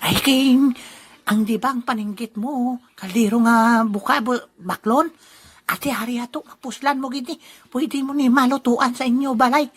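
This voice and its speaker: A woman's voice: on the high side, steady tone, normal speed, breathy edge. A woman voicing a sly old man who sells off a friend's things and chuckles at his scheme. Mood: scheming. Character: sly old man selling stolen goods. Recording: noisy amateur recording.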